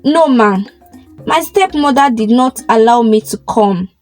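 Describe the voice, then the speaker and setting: A meek young orphan maiden in a folktale, voiced by a woman. She is hesitant and deferential, with a high, lilting voice. Soft music sits behind her. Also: lively intonation, measured pace. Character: meek, gentle orphan maiden, timid and respectful.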